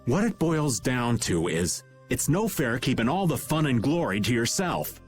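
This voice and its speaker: Man playing a lazy teasing middle-aged guy, drawling, loose lilting pitch, soft music behind. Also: very animated, normal speed, close to an everyday voice. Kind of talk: video game voice acting.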